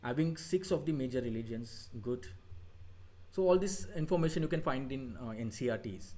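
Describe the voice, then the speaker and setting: An Indian-accented man leads an online coaching class at a steady middle pitch. He talks easily and haltingly, filling pauses with "uh" and slipping into Hindi. He hands out quick bits of praise as he goes through the answers. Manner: relaxed, hesitant, many fillers, mixing in Hindi; brief praise of students' work. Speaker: exam coaching teacher.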